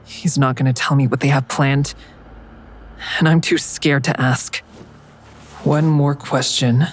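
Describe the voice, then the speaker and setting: An actress playing an anxious but persistent detective questioning a villain in an audio drama. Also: female voice, a bass voice, fairly even intonation, unhurried, slight background noise. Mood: tense, probing.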